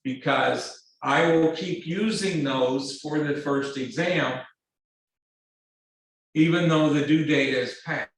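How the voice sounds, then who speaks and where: A man lecturing a math class, speaking in a halting, earnest way with repeated words and many fillers. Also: a little high. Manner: earnest, pleading. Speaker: math instructor. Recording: very echoey classroom, distant mic.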